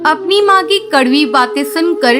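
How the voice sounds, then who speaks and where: A woman's voice: sits high, animated, normal speed. A woman narrating a scripted cartoon story as its storyteller. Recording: music behind the narration.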